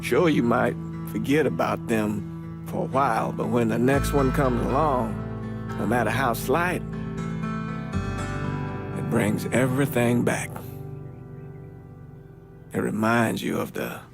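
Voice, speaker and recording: An actor playing a weary, troubled priest in a video game, reflecting in a halting, sombre way. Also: male voice, mid-range voice, vocal fry. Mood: weary, reflective. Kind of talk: video game cutscene monologue. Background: loud guitar music.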